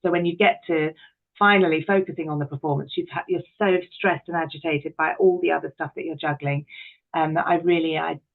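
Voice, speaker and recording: A woman's voice: sits low, steady tone, brisk, vocal fry, narrow, tinny audio. A middle-aged woman, talking candidly and expressively as an interview guest. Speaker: interview guest, actress, writer and director.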